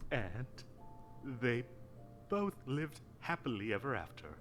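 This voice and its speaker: Yandere prince, super slow and sweetly creepy bedtime story voice, controlling, soft music under it. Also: male voice, medium pitch, sing-song. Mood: eerily tender. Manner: slow, tender, controlling delivery. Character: possessive yandere prince, soft-spoken and menacingly tender lover. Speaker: game voice actor. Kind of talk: bedtime story narration in a game.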